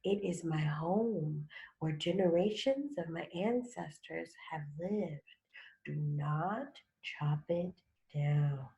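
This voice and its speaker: Storybook snake, female reader: huge boa, solemn, hushed, deliberate, secretive and pleading. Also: low voice, muffled recording, voice pushed down. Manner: hushed, slow, deliberate whispering. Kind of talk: storybook read-aloud.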